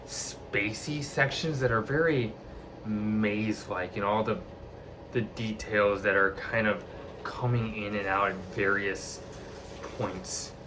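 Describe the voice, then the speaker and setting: A man talks through a metal record at an unhurried, slow pace, his voice in an ordinary middle register. He keeps restarting phrases as he hunts for the right word. A faint background noise sits under the recording. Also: medium pitch, fairly bright tone, low-quality recording. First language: not Icelandic. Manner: enthusiastic, halting with frequent restarts. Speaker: album reviewer. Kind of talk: spoken music review.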